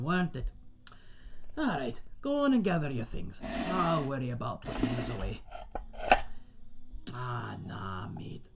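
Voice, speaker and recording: A man playing a friendly tavern owner and former sailor, husky and chatty, telling tales in a folksy seafaring dialect in a fantasy audio roleplay. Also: medium pitch, slight background noise. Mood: warm, jovial. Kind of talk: ASMR roleplay monologue in a fantasy setting.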